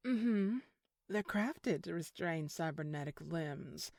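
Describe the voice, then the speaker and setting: A woman's voice: sits low, expressive, slow, creaky, a little mellow, no room sound, clean studio sound, full character voice. A woman acting a sardonic, menacing enforcer in an audio drama. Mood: smug. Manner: smug, sarcastic, threatening.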